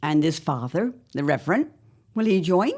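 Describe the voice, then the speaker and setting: Husky, low-voiced anxious young wife, acted by a woman. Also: very animated, a little mellow. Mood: curious. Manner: formal, dramatic, measured diction. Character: anxious, fanciful farm wife of the early 1900s. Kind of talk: audio drama acting.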